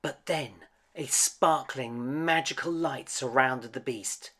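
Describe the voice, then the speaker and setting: A man's voice: mid-range voice, slow pace, bright, forceful tone, husky. A man reading a children's picture book aloud as a dramatic storyteller. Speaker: storybook reader.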